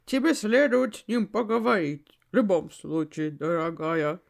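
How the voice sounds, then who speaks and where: A woman's voice: fairly low pitch, unhurried, creaky, pitched below the performer's own voice. A woman voicing a tender old English grandmother counselling her granddaughter in an audio story reading. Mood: gentle, concerned.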